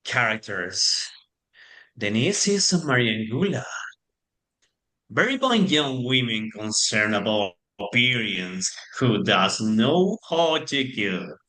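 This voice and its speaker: A man narrating a drama theatrically from a script, in halting non-native English. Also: fairly low pitch, big pitch swings, bright, forceful tone, low-quality recording.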